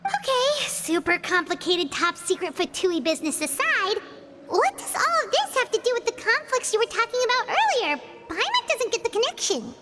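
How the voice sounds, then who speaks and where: A tiny, excitable fairy girl from a video game. The squeaky voice is extremely high and swoops wildly with blunt curiosity. Music plays prominently behind it. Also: normal speed. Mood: puzzled. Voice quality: squeaky, childlike. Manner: exclamatory, refers to herself in third person. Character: excitable, childlike small female fairy companion, blunt and curious. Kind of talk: animated video game voice acting.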